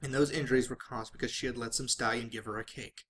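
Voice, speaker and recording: A man's voice: medium pitch, steady tone, quick pace, fairly bright tone. A man reading fan fiction aloud as a dramatic narrator, sometimes stumbling and rereading phrases.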